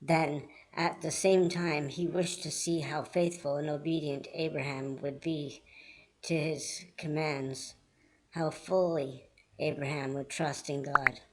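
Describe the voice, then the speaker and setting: A man reading scripted Bible storybook narration in a measured, earnest manner. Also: on the high side, flat delivery, rough recording.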